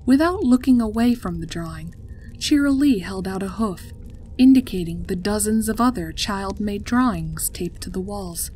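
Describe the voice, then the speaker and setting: A woman's voice: mid-range voice, animated, normal speed, warm tone, slight background noise. A woman reading a scripted story as its narrator. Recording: music behind the voice. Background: background music.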